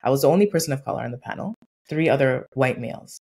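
Woman, forties, earnest and animated, interview guest, video call. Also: rumbling low, quick pace, gravelly, husky. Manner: earnest, animated storytelling with acted-out quotes. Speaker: guest, workplace equity consultant. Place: home room with painting behind.

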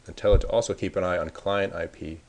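A man's voice: low-pitched, steady tone, quick pace, darkish tone. A man narrating a casual screencast tutorial as the presenter, informally thinking aloud as he works. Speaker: software presenter giving a demo.

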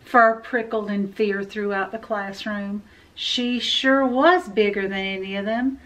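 A woman's voice: mid-range voice, steady tone, measured pace, a bit bright, poor audio. A middle-aged woman reading a children's picture book aloud expressively, as a library storyteller. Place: indoor room with full bookshelves.

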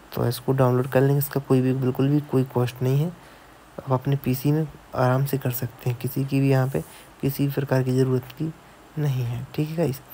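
A man walks viewers through fixing a phone on his computer, explaining each step and pausing to check they follow. His voice is gravelly and mellow, held in a flat, even pitch. A faint hiss sits under the recording. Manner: explains step by step, keeps adding "theek hai". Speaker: mobile repair technician. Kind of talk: screen-recorded tutorial narration. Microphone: voice-over on a screen recording.

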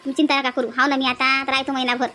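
Lady doing chatty caring village auntie for a cartoon, high voice, repeats herself. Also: level tone, very fast, bright, forceful tone, slight background noise. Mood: reassuring. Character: brisk, chatty, soothing village woman, a caring relative.

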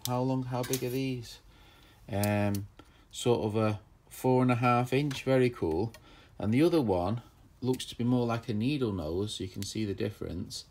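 A man's voice: mid-range voice, a little hiss or hum. A man chatting casually and enthusiastically as he shows off old tools, rambling with plenty of fillers. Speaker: tool collector vlogger. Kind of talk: casual show-and-tell narration.

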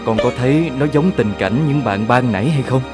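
A man's voice: medium pitch, normal speed, natural voice. Man as earnest cartoon animal dad, music behind. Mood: reflective. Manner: explanatory, fatherly. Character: patient adult male cartoon animal father, earnest. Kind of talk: animated children's cartoon dialogue.

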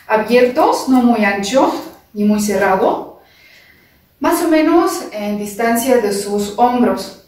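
Slow-speaking non-native woman teaching dance. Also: on the high side, darkish tone. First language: not Spanish. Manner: careful, halting non-native phrasing with pauses. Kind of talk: slow step-by-step dance instruction, counting beats. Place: large living room indoors. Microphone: far camera. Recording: echoey room from far off.

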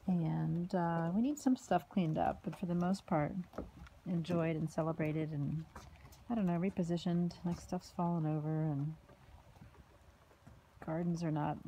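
A woman giving a casual walking tour of a house and its backyard, filmed on a handheld phone, with chatty remarks that trail off into soft asides. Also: fairly low pitch, slight background noise. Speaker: home tour vlogger. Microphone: phone camera held while walking.

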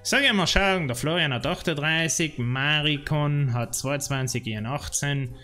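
A man's voice: fairly high pitch, normal speed, bright, forceful tone, soft background music. A man with a South Tyrolean German accent, rambling and complaining in casual chat while streaming a game. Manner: rambling, complaining, many fillers. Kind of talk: casual gameplay livestream chat.

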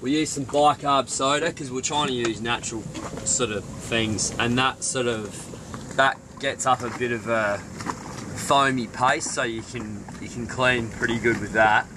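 A man's voice: medium pitch, fairly even intonation, unhurried, noisy background. A young man, speaking in a laid-back, plain way as he co-hosts a sailing vlog. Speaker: vlog host. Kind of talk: casual travel vlog talk. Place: on a sailboat outdoors and in its cabin. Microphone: action camera.

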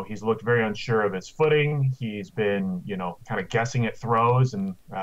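A man in his thirties giving casual, conversational fantasy football analysis over a video call from a room at home. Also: on the low side. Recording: slightly dull video-call audio.

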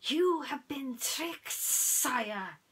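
A woman's voice: high-pitched, lively intonation, very slow, fairly bright tone, pitched above the performer's own voice, heavily acted. A woman voicing an anxious male royal advisor with a hissing, whispery delivery in a storybook reading. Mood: worried warning.

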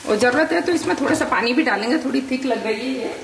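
A middle-aged woman with a fairly high voice calmly explains each cooking step. She is picked up by a camera mic from across a home kitchen. The room sounds echoey and distant, with faint sizzling from the pan. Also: quick pace, vocal fry, slight background noise. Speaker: home cook, channel founder. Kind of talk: cooking demonstration. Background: sizzling and stirring in a pan.

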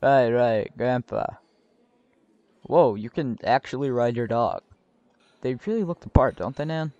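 A man's voice: medium pitch, unhurried, a little mellow, no echo, natural voice. A man voicing a friendly, easygoing young guy in a cartoon dub, recorded on a slightly dull microphone. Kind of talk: animated web comic dub acting.

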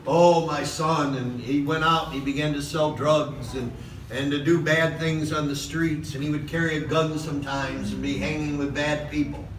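Middle-aged male preacher, impassioned, slow emphatic lines, roomy hall, distant mic, background noise. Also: on the high side, steady tone, fairly bright tone. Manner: impassioned preaching, repeated "okay?" asides, slows for emphasis. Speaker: pastor. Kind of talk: sermon. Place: large church hall with podium. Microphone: far camera in the hall.

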